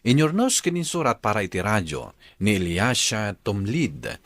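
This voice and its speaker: Filipino man, radio host, scripted narration, swooping expressive delivery, close dry sound. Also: on the low side, normal speed, dry recording.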